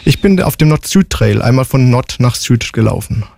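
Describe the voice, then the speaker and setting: A man in his forties speaks as a guest in a casual interview. His voice sits at an average pitch and his answers are matter-of-fact and to the point. The sound is dry, without any room echo. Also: animated, moderate pace, gravelly, a little mellow. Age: 40s. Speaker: interview guest, long-distance hiker.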